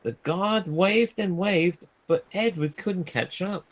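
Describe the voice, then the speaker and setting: A man reading a children's storybook aloud as a storyteller, in an animated style. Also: high voice, slow. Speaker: storyteller, streamer.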